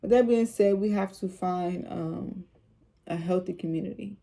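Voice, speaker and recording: A woman talks about faith in a casual vlog. Her voice is low and soothing, with a gravelly edge and a very dark, mellow tone. She rambles, repeats herself and fills pauses with "um" and "like.". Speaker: vlogger.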